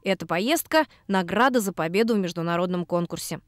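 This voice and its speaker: A woman narrating a TV news report as a voice-over, measured and expressive. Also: lowish voice, a little hiss or hum. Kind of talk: scripted narration.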